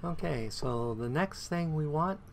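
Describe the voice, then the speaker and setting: Gravelly-voiced man with a croaky voice. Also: a little high, expressive, unhurried, a little hiss or hum. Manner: counts items aloud and hums to himself. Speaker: game streamer. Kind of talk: casual gameplay commentary.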